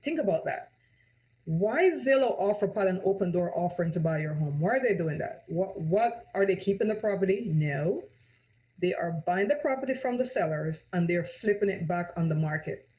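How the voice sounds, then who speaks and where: A woman's voice: fairly low pitch, animated, moderate pace, dark tone. Jamaican-accented woman real estate agent, pushy rhetorical sales talk, dull lo-fi recording. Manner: persuasive, rhetorical questions, repeats "right?". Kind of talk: direct-to-camera sales pitch. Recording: dull lo-fi audio.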